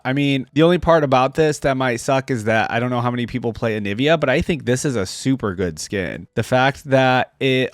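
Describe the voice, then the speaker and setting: A man's voice: mid-range voice, no echo. Casual man reacting, close dry recording. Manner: informal, chatty, thinking aloud. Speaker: YouTube reaction host. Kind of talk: casual off-the-cuff reaction commentary.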